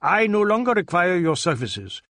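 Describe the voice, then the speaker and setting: A man voicing a pompous, imperious businessman hungry for publicity in an audiobook, repeating himself excitedly. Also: sits high, moderate pace, no echo, voice pushed up. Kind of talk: audiobook character voice.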